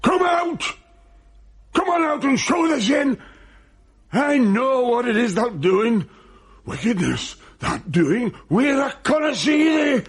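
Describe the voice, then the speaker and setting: An actor playing an angry, vengeful ghost from another time in a radio play, declaiming in archaic speech. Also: male voice, very high voice, sing-song, slow pace, slightly rough, exaggerated voice. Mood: accusing, menacing. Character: angry vengeful old-time male ghost, menacing and pleading. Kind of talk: radio drama acting.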